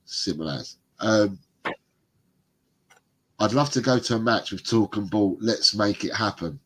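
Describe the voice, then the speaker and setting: A man's voice: fairly low pitch, a little slow, a little hiss or hum. A middle-aged man, congested, co-hosting a football fan livestream and reading out viewer comments in a chatty, rambling way. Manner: chatty, rambling, laughs often. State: congested, bunged up.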